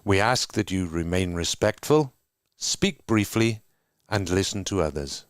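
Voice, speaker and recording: A man from Wales with a Welsh accent, co-hosting an online discussion and reading prepared remarks in a measured, earnest way over a video call. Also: low voice, expressive, no echo, clean studio sound. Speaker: co-host. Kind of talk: scripted welcome and reading.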